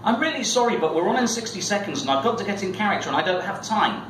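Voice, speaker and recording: Teasing young goth woman, lightly high, read by a man. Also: big pitch swings. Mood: hurried. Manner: deliberate pauses, as if reciting. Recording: echoey, noisy room, distant mic.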